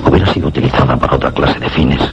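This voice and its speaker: A man's voice: rumbling low, rapid-fire, muffled recording. An actor narrating as a male meteorologist in a suspense radio drama, grave and ominous. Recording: radio drama with loud music bed.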